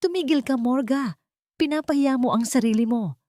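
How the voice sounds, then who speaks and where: A woman puts on an angry husband for an audio drama. He scolds in humiliation, his voice pushed up high and sharp in temper. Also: moderate pace, no echo, close to an everyday voice.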